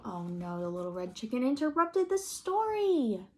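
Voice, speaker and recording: Woman, higher-pitched voice, children's read-aloud, expressive storyteller, asides to young listeners. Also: moderate pace. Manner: expressive, addresses children with questions.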